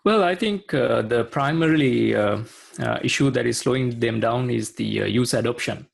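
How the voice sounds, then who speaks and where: A man's voice: mid-range voice, normal speed, creaky. A man from Sri Lanka speaking as a guest in a casual video-call interview, hesitant and full of fillers. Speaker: guest, IT consultant.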